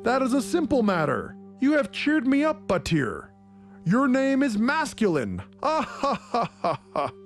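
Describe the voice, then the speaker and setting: A man's voice: extremely high pitch, huge pitch swings, measured pace, faint music behind, voice pushed up, heavily acted. A man voicing a gleeful, mocking male evil spirit that bursts into laughter, in a storybook reading.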